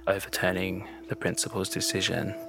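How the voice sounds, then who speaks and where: A man, a journalist guest explaining a story in a measured, thoughtful podcast conversation. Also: low-pitched, completely flat delivery, vocal fry, music in the background.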